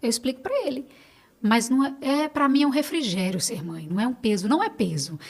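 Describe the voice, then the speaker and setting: A woman's voice: on the high side, fast, a little mellow, slightly rough. A middle-aged Brazilian woman talking casually as a podcast guest, telling stories and quoting conversations as she goes.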